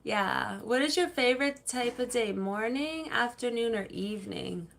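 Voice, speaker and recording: Slow-talking woman livestream host. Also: fairly high pitch, expressive, gravelly, a bit bright. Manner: chatty, laughs easily, rambling with fillers. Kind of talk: casual livestream chat reading comments.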